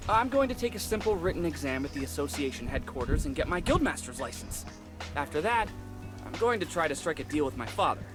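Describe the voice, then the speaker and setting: A man's voice: a little high, sing-song, a little fast. An actor playing an earnest, devoted young man who speaks politely and eagerly in a game. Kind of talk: game voice acting. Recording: game audio with music behind.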